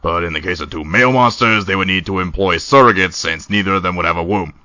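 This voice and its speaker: Man playing a wry, sharp-edged, low cartoon skeleton. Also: fast talker, no echo, muffled recording. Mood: amused, explanatory. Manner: casual, wry, drawling. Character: laid-back, teasing male skeleton, wry older brother. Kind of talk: animated comic dub acting.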